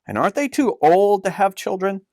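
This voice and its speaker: A curious, doubting little girl voiced by a man reading a storybook asks questions in a raised pitch. The recording is dry. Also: sing-song, brisk, darkish tone, dry recording. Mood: puzzled, curious.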